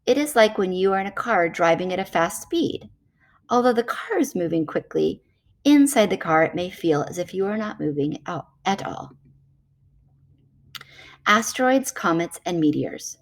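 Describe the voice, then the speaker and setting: A woman reading a nonfiction children's book aloud in a measured, explanatory way. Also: lowish voice, lively intonation.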